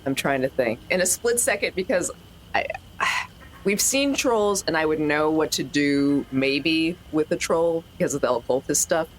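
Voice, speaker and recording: A woman's voice: deep voice, slight background noise, poor audio. A woman playing a tabletop roleplaying game, casually and hesitantly thinking her moves through aloud.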